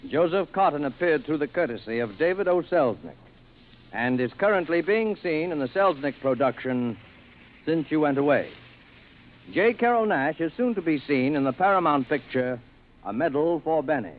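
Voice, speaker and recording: An American man reading as a formal 1940s radio announcer, with measured phrasing. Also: on the high side, animated. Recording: tinny old radio broadcast, faint music.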